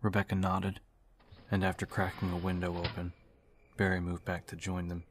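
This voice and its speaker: Deep, even-toned male audiobook narrator. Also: moderate pace, slight background noise. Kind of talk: scripted dramatic narration. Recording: music behind the voice.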